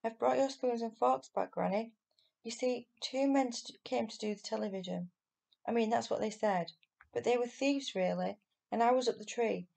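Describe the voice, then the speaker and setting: A woman voicing an excitable, earnest and insistent young boy in a children's storybook reading. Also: on the high side, moderate pace, gravelly. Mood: excited.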